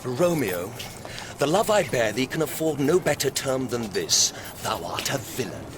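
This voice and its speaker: A man's voice: medium pitch, sing-song, measured pace, noisy background. An actor playing a haughty, menacing young swordsman with a British accent and a challenging manner, in a Shakespearean radio drama. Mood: hostile.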